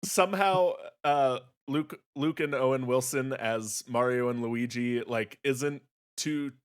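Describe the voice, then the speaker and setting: A man's voice: mid-range voice, slow pace, clear, resonant tone. A man co-hosting a podcast, talking casually and jokingly as he gives his critique. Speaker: podcast co-host.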